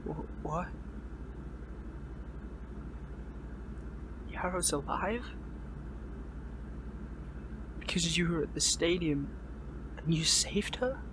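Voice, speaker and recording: An earnest, lovelorn young hero held captive, acted by a man in a dramatic audio roleplay. His voice sits fairly high for a man and stumbles into stammers and breathy pleading as he wrestles with his loyalties. Noticeable noise sits behind the recording. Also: unhurried, lightly acted. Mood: shocked, accusing.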